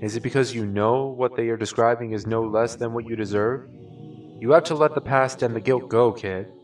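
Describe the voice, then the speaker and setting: A man's voice: medium pitch, normal speed, distant mic, faint music behind, close to an everyday voice. A man playing a frank, wordy character who lectures and scolds in a fan comic dub. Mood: reproachful.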